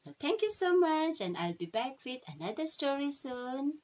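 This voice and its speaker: A woman teacher reading a storybook aloud to children, pausing to check in with short questions. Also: sits high, very animated, a little mellow. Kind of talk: animated storybook read-aloud.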